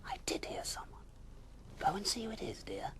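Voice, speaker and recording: A man voicing a kindly mother bear in a bedtime story, soft and hushed. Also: sits high, huge pitch swings, moderate pace, whispered, voice pushed up, heavily acted. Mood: concerned.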